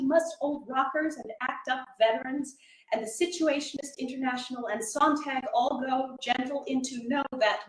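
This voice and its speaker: In a reverberant room, a woman recites poetry to a live gathering. Her voice sits on the high side, and she reads expressively, as a dramatic reader would. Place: reading room at a live literary event. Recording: echoey room.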